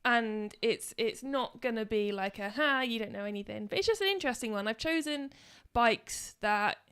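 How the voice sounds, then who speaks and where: A woman co-hosting a casual live stream with her husband, chatty and quick to laugh, often trailing off. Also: fairly high pitch, animated, fairly bright tone, smooth. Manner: chatty, laughs easily, trails off mid-sentence. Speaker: co-host of a motorcycle channel live stream.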